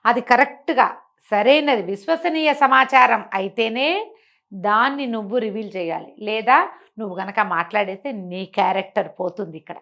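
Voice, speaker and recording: A woman's voice: medium pitch, moderate pace, fairly bright tone. A woman giving a conversational moral talk, teaching emphatically and often posing rhetorical questions. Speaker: storyteller explaining moral teachings.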